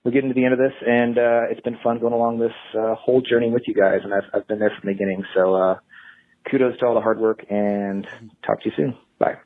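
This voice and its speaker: A man's voice: medium pitch, level tone, fast, no echo. A man leaving a casual, rambling voicemail over a tinny phone line, hesitating as he thinks aloud.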